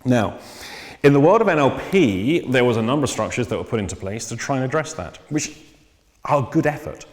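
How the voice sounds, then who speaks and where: A man teaching about psychotherapy in a measured, thoughtful way, pausing often with ums and restarting his sentences. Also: mid-range voice, animated. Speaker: psychotherapy trainer. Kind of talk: unscripted lecture on therapy. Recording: long reverb.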